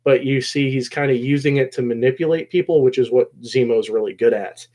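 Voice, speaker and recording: A man hosting a casual recap discussion of a show episode, rambling along and often pausing mid-phrase. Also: mid-range voice, a little mellow. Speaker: host of a show discussion video.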